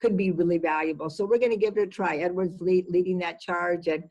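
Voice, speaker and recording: A woman's voice: fairly low pitch, fairly even intonation, a little fast, darkish tone, highs cut off. A woman executive giving conversational interview answers, emphatic and repeating words for stress. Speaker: business executive being interviewed.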